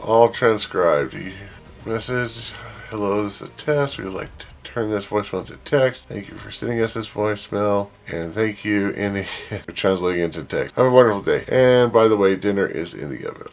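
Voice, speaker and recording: A man presenting an informal software tutorial, thinking aloud as he works through each step. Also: fairly low pitch, normal speed, vocal fry. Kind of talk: casual screen-share walkthrough.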